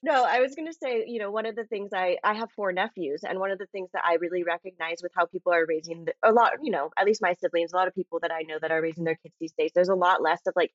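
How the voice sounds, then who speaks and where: A woman's voice: on the low side, fast, few pauses, dry recording. A woman chatting casually and reflectively as a guest on a podcast. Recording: slightly dull, lo-fi sound.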